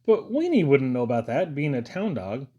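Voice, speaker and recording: A man's voice: mid-range voice, sing-song, moderate pace, warm tone, lo-fi sound. Man voicing a folksy father out hunting. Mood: puzzled. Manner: folksy, instructive. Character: boy's father, seasoned hunter, gruff but caring.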